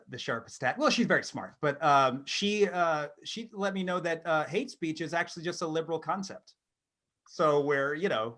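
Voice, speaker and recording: Male co-host, a little higher-pitched than average, loose conversational delivery with wry humor. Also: a bit bright. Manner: joking, wry. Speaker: podcast co-host. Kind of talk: casual comedic banter.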